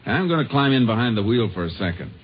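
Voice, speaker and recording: An actor playing a steady, businesslike Texas Ranger investigator in an old radio drama, terse and matter-of-fact in his questions. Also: medium pitch, quick pace, close to an everyday voice. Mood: focused. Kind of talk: 1940s-50s radio drama acting. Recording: muffled, noisy old-time radio recording.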